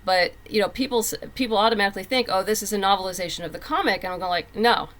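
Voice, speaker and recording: A woman's voice: mid-range voice, racing, a bit bright. A woman talking conversationally as a writer being interviewed, telling stories and acting out quotes as she goes. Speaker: comic book writer and guest.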